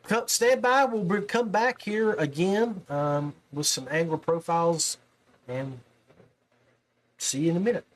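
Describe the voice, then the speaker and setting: A man's voice: a little high, a little slow. A man with an American accent chatting casually as the host of a podcast. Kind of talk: casual chat.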